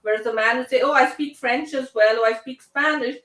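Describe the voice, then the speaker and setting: A woman hosting a casual live online group discussion, speaking in a halting, conversational way and often restarting her phrases. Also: high voice, fairly bright tone. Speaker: host. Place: indoor room on live online video call. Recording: echoey room, distant microphone.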